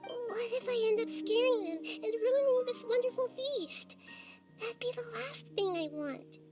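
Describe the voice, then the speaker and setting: A woman voicing a gentle, wise, childlike little girl deity in a game cutscene, with formal, thoughtful phrasing. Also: very high voice, a little slow, music in the background. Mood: worried.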